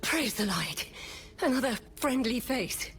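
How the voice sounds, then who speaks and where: An actress playing a resolute, idealistic leader of rebel survivors in a fantasy video game, speaking with earnest conviction. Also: female voice, fairly high pitch, expressive, a little slow, a bit bright, a little hiss or hum. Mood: guarded, resolute. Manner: earnest, impassioned. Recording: music behind.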